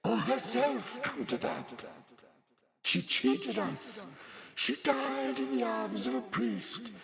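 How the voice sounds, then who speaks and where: Beelzebub, a slow gravelly demon lord. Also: male voice, high voice, very animated, music in the background, pitched above the performer's own voice, full character voice. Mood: imperious. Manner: slow, drawn-out, commanding. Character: Beelzebub, imperious demon lord, part elephant part wasp.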